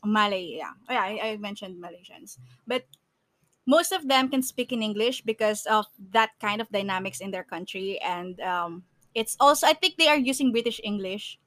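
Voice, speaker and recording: A woman's voice: mid-range voice, moderate pace, a bit bright, no echo. A woman from the Philippines with a Filipino accent, co-hosting a podcast in casual chat, laughing often and restarting her sentences. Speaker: podcast co-host.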